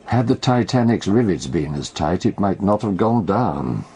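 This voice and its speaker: A man playing a wry, knowing storyteller in a radio detective drama, speaking deliberately. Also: deep voice, sing-song, warm tone, slight background noise. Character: wry, knowing male storyteller recounting a trial.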